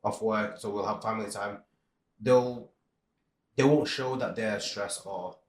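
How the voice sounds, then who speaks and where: A man in his thirties, from the Philippines, talking as a podcast guest in a relaxed, rambling way with many restarts. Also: lowish voice, a little slow, roomy sound. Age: 30s. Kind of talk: casual interview chat.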